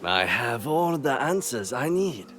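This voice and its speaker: Sly mocking swordsman, slow teasing drawl, loud game music behind. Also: medium pitch, sing-song. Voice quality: sardonic, teasing. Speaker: game character. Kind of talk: video game cutscene acting. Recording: game audio with loud score.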